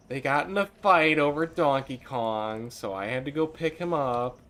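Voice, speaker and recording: A man's voice: a little high, fairly bright tone. A young man with a Midwestern accent chatting casually and nostalgically while streaming a game, swearing and laughing now and then. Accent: Midwestern American. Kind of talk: casual gameplay commentary.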